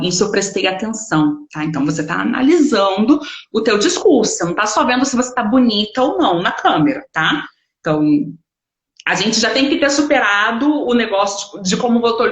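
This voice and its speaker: A woman with a Brazilian Portuguese accent giving an informal online lesson, explaining conversationally and often checking in with short tags. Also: mid-range voice. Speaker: English study teacher. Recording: echoey room sound.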